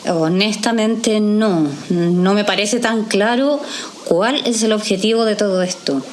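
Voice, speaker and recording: Actress: direct, guarded professional woman, caring, mid-pitched and measured, audio drama. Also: slight background noise, natural voice. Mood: firm, sceptical.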